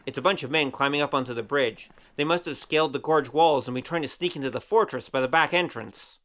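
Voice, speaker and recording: A man's voice: medium pitch, fast talker, fairly bright tone, muffled recording, close to an everyday voice. A man playing an easygoing, teasing gambler hero with a flippant manner in an audio drama. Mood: earnest, determined.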